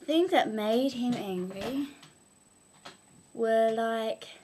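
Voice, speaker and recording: A woman's voice: on the high side, drawn-out words, darkish tone, a little hiss or hum. A young woman telling improvised, humorous moral stories informally, with a playful, mock-instructive manner. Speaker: amateur storyteller.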